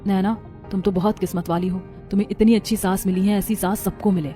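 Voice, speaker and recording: A woman's voice: mid-range voice, steady tone, fast, warm tone, voice pushed down, lightly acted. Woman playing young wife, meek, wistful, subdued, cartoon family drama, faint music behind. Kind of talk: cartoon story character voice.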